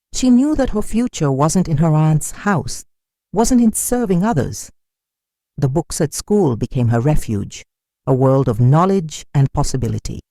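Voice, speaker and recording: A storyteller with a soft, mellow tone narrates a scripted tale in a measured, dramatic way. The pitch moves expressively, and the sound is close and dry. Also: no room sound.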